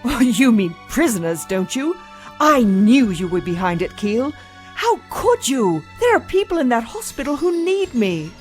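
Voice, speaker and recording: A woman's voice: fairly high pitch, big pitch swings, unhurried, soft background music. An actress playing a principled, bluntly defiant female doctor in an audio drama. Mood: indignant.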